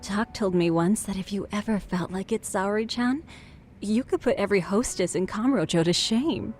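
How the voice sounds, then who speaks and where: A woman voicing a playful, flattering, worldly barroom confidante in a video game English dub, coquettish and teasing. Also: mid-range voice, normal speed, faint music behind, natural voice. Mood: encouraging.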